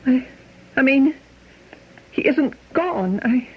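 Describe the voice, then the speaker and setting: Grieving wife for radio mystery: breathy, genteel, emotionally insistent, clinging to denial; dull vintage sound. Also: female voice, sits high, expressive, slow, heavily acted. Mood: wistful, anguished. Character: devoted, grieving wife, wistful and stubbornly in denial. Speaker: radio drama actor. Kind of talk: old-time radio mystery acting. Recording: muffled old radio drama recording.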